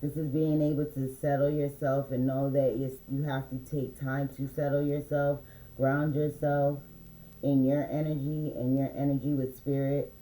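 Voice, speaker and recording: A man giving a relaxed, meandering spiritual card reading, reading affirmations aloud slowly, with many fillers. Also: medium pitch, echoey room, low-quality recording. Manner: slow, reads affirmations aloud. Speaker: spiritual reader.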